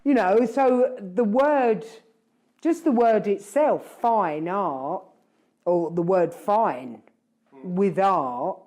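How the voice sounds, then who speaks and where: A woman host in a relaxed chat. She thinks out loud, circles back and corrects herself as she goes, at an ordinary middle pitch. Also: drawn-out words. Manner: rambling, self-correcting, reflective. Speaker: podcast host. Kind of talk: casual chat.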